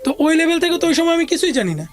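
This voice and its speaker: High-voiced Bangladeshi woman telling her story. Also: sing-song, rapid-fire. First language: Bengali. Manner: conversational, mixes in English words. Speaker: interview guest. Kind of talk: recounting a personal life story.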